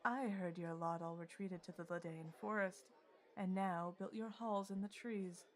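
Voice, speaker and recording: A woman's voice: on the low side, steady tone, normal speed, natural voice. A woman playing a wry, teasing winged adventurer in an audio roleplay, dry and nonchalant. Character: winged female Coatla adventurer, wry and unbothered tavern drinker. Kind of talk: audio roleplay drama acting. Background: music.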